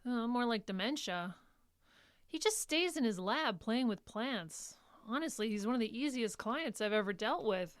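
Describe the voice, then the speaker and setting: An actress playing a weary, reluctant young care worker in an audio drama, with hesitant, understated replies. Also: female voice, on the high side, lively intonation, moderate pace, no echo, studio quality, lightly acted. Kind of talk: live audio drama acting, naturalistic.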